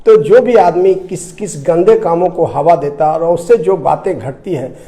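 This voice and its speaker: A man's voice: on the high side, moderate pace, dark tone, a little hiss or hum. A man from India with a Bihari accent, giving impassioned political commentary, emphatic and repetitive, full of rhetorical questions. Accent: Indian, Bihar region. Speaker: debate guest, commentator.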